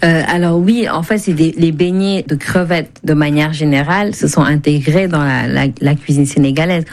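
A woman's voice: low-pitched, moderate pace, a little mellow. A woman from Senegal explaining things conversationally as a guest in a radio interview, rephrasing as she goes. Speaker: guest, cookbook author and food blogger.

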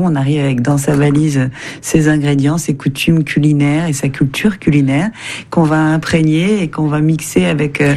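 A woman's voice: low voice, warm tone. A woman hosting a radio interview in a conversational style. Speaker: radio host.

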